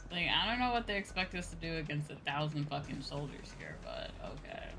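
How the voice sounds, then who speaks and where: A young woman chatting casually on a game livestream, rambling, expressive and informal, swearing freely. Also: low voice, fairly quick, creaky. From outside Japan. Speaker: VTuber game streamer. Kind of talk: casual livestream chat over a video game. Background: game music.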